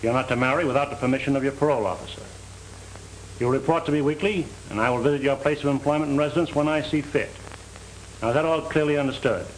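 A man's voice: medium pitch, fast, close to an everyday voice. A middle-aged man playing a stern, by-the-book parole officer in 1930s film style, with a firm, clipped delivery. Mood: brisk, official. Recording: noisy 1930s film soundtrack.

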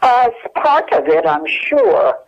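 A woman's voice: fairly high pitch, big pitch swings, slow pace. An older woman with an American accent, reminiscing casually as an interview guest and searching her memory hesitantly as she talks over the telephone. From United States. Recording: dull lo-fi telephone hook-up.

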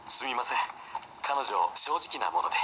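An actor playing a polite, composed warrior husband in a video game, smoothly apologetic. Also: male voice, medium pitch, lively intonation, moderate pace, sharp tone, slight background noise, rough recording. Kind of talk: Japanese video game cutscene acting.

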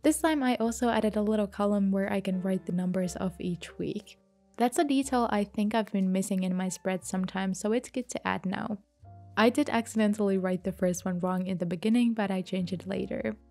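A woman giving a calm, gentle voice-over for her journal painting video, a little sick from a mild flu. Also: medium pitch, a little fast, faint music behind. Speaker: bullet journal creator. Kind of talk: voice-over narration of a craft video.

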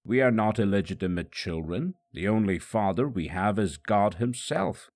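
A man's voice: fairly low pitch, expressive, normal speed, a little mellow. A man voicing stubborn, indignant religious leaders of ancient Judea in a storybook narration.

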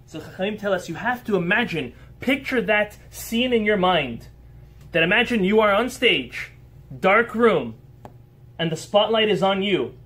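High-voiced man teaching a Torah lesson. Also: a bit bright, slightly rough. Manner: earnest, emphatic teaching with rhetorical questions; answers listeners' interjections on the fly. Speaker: rabbi. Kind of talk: Torah lesson to a small live group.

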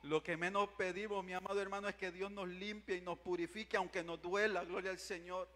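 A man's voice: high voice, little pitch movement, a little fast, sharp tone. A young man preaching a fervent revival sermon, pacing the stage with a handheld mic and calling out to his listeners again and again. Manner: impassioned, repeats "mi amado hermano", paces the stage. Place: large church sanctuary. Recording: long church reverb.

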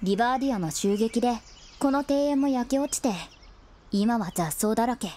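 A woman playing a cheeky but caring young boy companion in a voice drama, boyish, casual and expressive. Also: fairly high pitch, normal speed, slight background noise, natural voice. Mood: disappointed, wistful. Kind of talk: Japanese voice drama acting.

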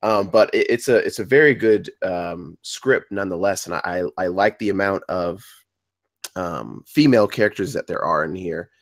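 A man giving informal feedback to a group, halting and hesitant, often repeating his words. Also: deep voice, no room sound, clean studio sound. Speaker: table-read participant giving feedback.

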